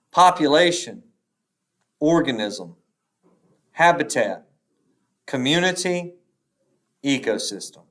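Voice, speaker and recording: A male teacher reads a test aloud at a slow, careful pace. His pitch moves up and down noticeably as he sets out each question and option, pausing between them. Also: fairly bright tone. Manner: deliberate, instructional, pausing between answer choices.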